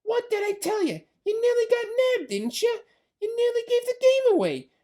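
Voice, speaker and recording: A woman's voice: very high-pitched, very animated, moderate pace, dark tone, pitched above the performer's own voice. A reader voicing a boastful, territorial male rat who shrieks and scolds intruders in a storybook. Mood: scolding. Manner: screeching and indignant. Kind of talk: storybook read-aloud.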